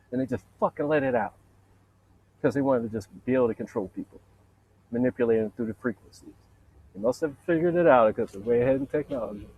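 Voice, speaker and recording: A man with a deep voice in a rambling, profane, boastful solo monologue, wandering from point to point. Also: vocal fry, a little mellow, husky, rough recording. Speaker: vlogger.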